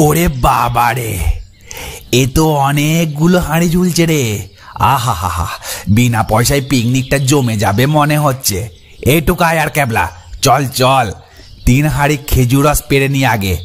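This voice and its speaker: An actor playing a bossy, boastful, scheming gang leader in a comic cartoon, with a pompous, blustering, scolding manner. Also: male voice, mid-range voice, moderate pace, natural voice. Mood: greedy delight. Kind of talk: exaggerated comic cartoon dialogue. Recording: music under the voice.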